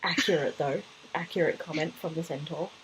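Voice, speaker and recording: A woman's voice: sits low, a little fast, slightly rough, rough recording. A woman co-hosting a podcast in casual chat, with wry, teasing replies. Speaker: podcast co-host.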